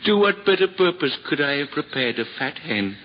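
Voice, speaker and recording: An actor playing a kindly older monk who cooks for the monastery in a 1950s radio drama, gentle and fatherly, often chuckling. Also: a little high, very animated, moderate pace. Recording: dull old radio recording with faint hiss.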